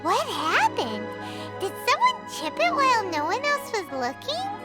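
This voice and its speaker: Tiny excitable game sidekick, squeaky-high and swooping, curious and childish. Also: female voice, measured pace, loud music behind the voice, heavily acted. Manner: exclamatory, eager, childish phrasing. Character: small excitable childlike floating companion, bubbly and curious. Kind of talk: video game character acting.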